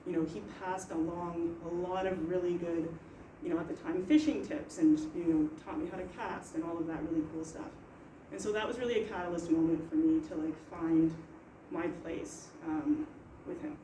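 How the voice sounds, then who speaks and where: A woman's voice: fairly low pitch, moderate pace, warm tone, a little hiss or hum. A young woman on a panel speaking earnestly and thoughtfully in a casual way. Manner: earnest, thoughtful, many fillers. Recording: echoey room, far from mic.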